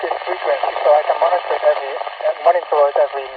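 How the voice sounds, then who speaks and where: A man's voice: fairly high pitch, steady tone, racing. A man with a Dutch accent chats casually over CB radio. His voice comes through a thin, narrow band buried in heavy static, as a radio operator making a long-distance contact. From Netherlands. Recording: very noisy, narrow CB radio signal.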